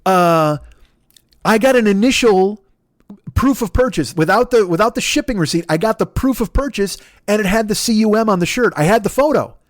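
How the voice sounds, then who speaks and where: A man's voice: sits high, expressive, normal speed, no echo, studio quality. A man in his forties hosting a podcast, telling a comic story in a rambling, profane, exasperated way. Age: 40s. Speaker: podcast host. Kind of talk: comedic storytelling monologue.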